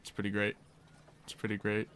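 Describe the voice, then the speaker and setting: A man's voice: lowish voice, completely flat delivery, fairly quick, a bit bright, smooth. A man hosting a tabletop game stream as game master, chatting casually and jokily. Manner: jokey, laughs often.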